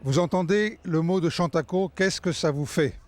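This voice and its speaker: A man's voice: on the high side, normal speed, a little mellow. A man interviewer asking direct questions and setting up imagined scenes for his guests.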